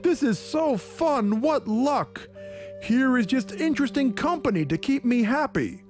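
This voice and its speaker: A man's voice: extremely high pitch, lively intonation, unhurried, soft background music, pitched above the performer's own voice. A man voicing a gleeful, mocking male evil spirit that bursts into laughter, in a storybook reading.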